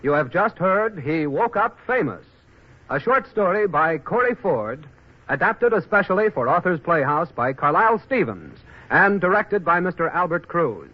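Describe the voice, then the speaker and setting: A man's voice: a little high, sing-song, normal speed, highs cut off. A man announcing formally from a script in the style of 1940s radio. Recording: band-limited 1940s radio broadcast.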